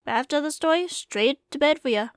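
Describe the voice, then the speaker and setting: Woman voicing a folksy, high-pitched Wild West saloon keeper. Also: brisk, no echo, studio quality. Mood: delighted, teasing. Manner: folksy, rambling, drawling storytelling. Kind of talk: ASMR roleplay, storytelling to the listener.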